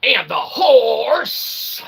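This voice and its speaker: A man's voice: extremely high pitch, sing-song, drawn-out words, gravelly, fairly bright tone, breathy edge. An American man hosting his own channel, delivering a rambling, sarcastic comedic monologue full of fillers and asides. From United States. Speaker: channel host.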